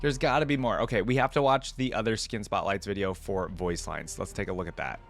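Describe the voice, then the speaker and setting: A man's voice: on the low side, steady tone, fairly quick, gravelly, soft background music. A man giving casual reaction commentary, informal and musing aloud as he goes. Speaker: reaction video host.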